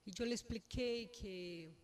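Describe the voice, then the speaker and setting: A woman with a Brazilian Portuguese accent, a native Portuguese speaker, giving a halting, unscripted personal speech at a funeral, searching for words. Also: mid-range voice, a little mellow, a little hiss or hum. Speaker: mourner giving a family eulogy.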